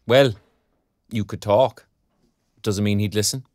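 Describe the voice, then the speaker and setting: A wry young Irish graduate. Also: male voice, lowish voice, fairly even intonation, slow pace, a little mellow, no room sound, studio quality. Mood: reflective, frank. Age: young adult. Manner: colloquial, sardonic. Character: young underemployed arts graduate, frank and wry. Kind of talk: naturalistic audio drama dialogue.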